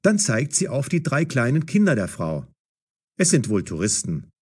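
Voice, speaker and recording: A man narrating a scripted audio story for language learners in a measured, clearly enunciated way. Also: medium pitch, wildly swooping pitch.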